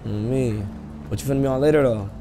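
A man's voice: medium pitch, racing. A man streaming a roleplay video game, chatting casually in slangy, profane street talk over noisy audio. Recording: noisy, vehicle sounds behind voice.

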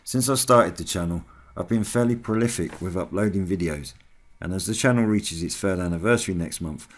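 A man's voice: low-pitched, brisk. A British man hosting a hobby video channel, giving a scripted voice-over update to his viewers.

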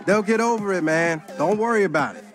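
A man's voice: high-pitched, animated, fairly quick. An actor playing a fussy, exasperated nerdy young man in a video game cutscene. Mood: easygoing. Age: young adult.

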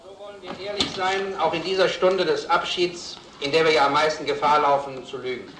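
Actor as wry melancholy speechmaker, echoing room. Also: male voice, fairly high pitch, fairly even intonation, noisy background, natural voice. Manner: reflective, formal, deliberate. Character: wry, melancholy gentleman giving a farewell speech. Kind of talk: radio drama acting, after-dinner speech.

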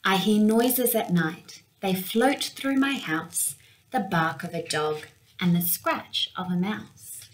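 A young woman reading a picture book aloud for children in an expressive storytelling manner. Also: mid-range voice, slow pace, slight background noise, rough recording. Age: young adult. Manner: expressive, with acted-out sound words. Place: small indoor room with window blinds.

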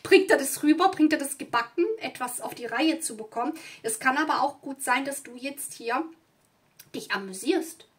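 A woman's voice: sits high, normal speed. Chatty woman giving a tarot reading. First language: not German. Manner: chatty, rambling, many fillers and asides. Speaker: tarot reader. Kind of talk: casual tarot reading to camera. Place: indoors at a table with candles and flowers.

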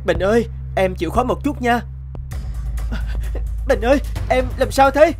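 A man's voice: extremely high pitch, lively intonation, a little slow, faint music behind. A man voicing a caring, hardworking orphan boy in a cartoon, an earnest and tender big brother. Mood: worried.

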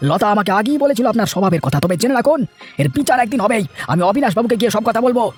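A man's voice: high voice, lively intonation, rapid-fire, slightly rough, faint music behind. A man voicing a hot-tempered village garden owner in a fairy tale cartoon, speaking emphatically. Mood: angry, accusing.

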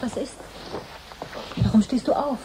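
A woman's voice: fairly high pitch, a little slow, dark tone, close to an everyday voice, rough recording. An actress playing a worried, caring woman questioning her troubled partner in a radio drama. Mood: concerned. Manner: concerned, questioning. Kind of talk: 1980s German radio drama acting. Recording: faint background noise.

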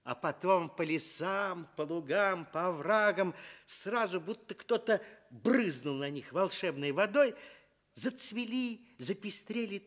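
A man narrating a fairy tale for Soviet radio, tender and expressive, with dramatic pauses. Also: high voice. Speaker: storyteller.

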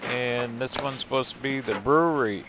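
A man's voice: mid-range voice, normal speed, background noise. An older man narrating a casual walk through a museum as a travel vlogger, easygoing and wry with chuckling asides. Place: indoor museum buildings.

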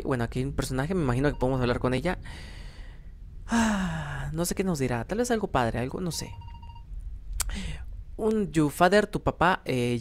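A young adult man with a Mexican accent giving casual, self-deprecating gameplay commentary, rambling and thinking aloud. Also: medium pitch. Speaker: gaming YouTuber, host. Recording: background music under voice. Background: music.

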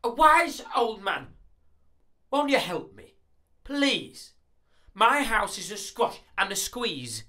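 A man acts out a little old lady from a children's storybook. The voice sits very high and swings widely in pitch. She is flustered and exasperated, pleading in drawn-out, dramatic exclamations. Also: big pitch swings, bright, forceful tone, full character voice. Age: older.

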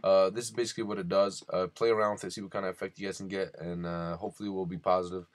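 A man with a low, monotone voice presents a beginner software tutorial. His delivery is informal and halting, like someone explaining on the fly. The sound is dry, with hardly any room to it. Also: dry recording. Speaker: tutorial host.

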